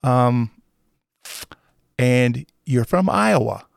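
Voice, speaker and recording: A man's voice: medium pitch, expressive, extremely slow, creaky, no echo, studio quality. A man, hosting a casual local radio interview in a folksy, teasing way. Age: 60s. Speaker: radio show host. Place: small radio studio.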